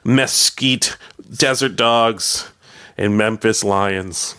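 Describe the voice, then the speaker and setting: A man's voice: on the low side, extremely slow, pushed and bright, clean tone. A man co-hosting casual radio chat, reading out listings in a jokey, chuckly way. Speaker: radio show co-host.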